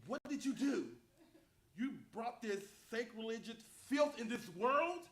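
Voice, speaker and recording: A man playing an indignant, scolding gentleman in a staged play, pompous and melodramatic. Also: extremely high pitch, a little slow, exaggerated voice. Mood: disgusted. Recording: echoey room, faint noise.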